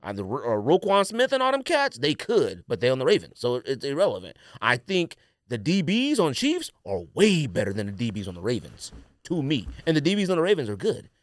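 An American man co-hosting a podcast, chatting casually about sports in an animated, opinionated, slangy way. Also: mid-range voice, a little fast, no room sound, clean studio sound. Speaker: sports and sneaker podcast co-host.

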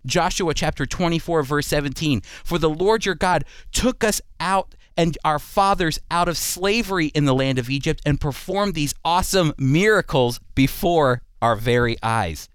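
A man hosting a radio Bible program, teaching and reading scripture in an emphatic, deliberate way with rhetorical questions. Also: a little high, lively intonation, a bit bright, clear, resonant tone, dry recording.

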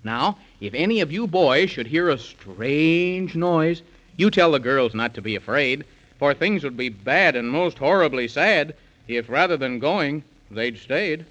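Male 1930s radio storyteller: fairly high voice, theatrical rhyming singsong, dull lo-fi recording. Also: lively intonation, measured pace, fairly bright tone. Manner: theatrical, singsong verse delivery. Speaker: narrator.

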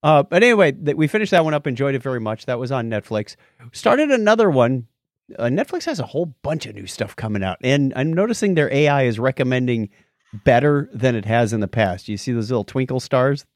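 A man co-hosting a podcast in casual conversation, animated, often stammering and restarting his phrases. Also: medium pitch, brisk, dry recording, clean studio sound. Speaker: podcast co-host.

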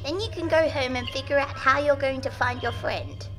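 A man's voice: very high-pitched, steady tone, moderate pace, over music, pitched above the performer's own voice. A man voicing an eerie little girl who talks in a grave, grown-up serious tone. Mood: solemn. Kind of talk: audiobook character voice.

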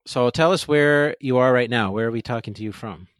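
A man hosting a podcast, asking questions in a relaxed, chatty way with frequent "you know"s. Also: medium pitch, a little fast, clear, resonant tone, no room sound, clean studio sound. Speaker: podcast host. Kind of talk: casual interview.

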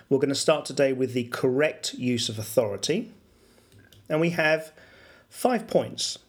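A middle-aged man hosting a solo business podcast, explaining at a measured pace with fillers and self-corrections. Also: medium pitch. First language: English. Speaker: podcast host and business English coach.

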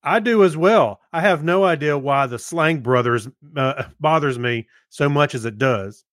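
A man's voice: fairly high pitch, moderate pace, fairly bright tone, no echo, studio quality. A man co-hosting a podcast, chatting casually and reading out comments from listeners. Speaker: podcast co-host.

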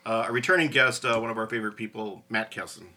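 A middle-aged man hosting a casual podcast, talking in halting, hesitant phrases. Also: on the low side, steady tone, sharp tone. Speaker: podcast host. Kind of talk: casual chat.

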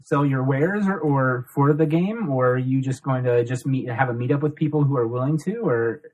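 A man's voice: medium pitch, normal speed, a little mellow, poor audio. A young man from Ohio hosting a casual podcast, loose and laughing, swearing freely as he chats and interviews a guest. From Ohio, USA. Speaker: podcast host.

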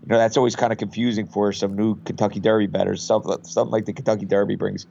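A man with a head cold speaks in a gravelly, hoarse, low voice over a dull lo-fi line. He is a betting site guest who rambles easily, with plenty of "you know"s. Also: very fast. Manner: relaxed, rambling, many fillers. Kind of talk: casual podcast interview.

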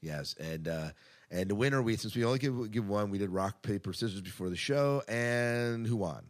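A man with a fairly low voice hosts a podcast. He chats casually and loosely around his notes, announcing things in an upbeat, talkative way. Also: expressive, moderate pace. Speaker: podcast host. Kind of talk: casual scripted podcast chat.

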